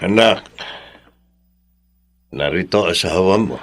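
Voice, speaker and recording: A man playing a gentle, wise older father in a Filipino radio drama, counselling earnestly in a measured, consoling way. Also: deep voice, dry recording, clean studio sound, faint music behind. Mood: calm.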